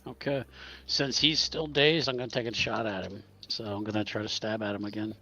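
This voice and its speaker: Brief: male tabletop player, husky tone, relaxed, thinks out loud. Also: fairly low pitch, brisk. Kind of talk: casual tabletop play.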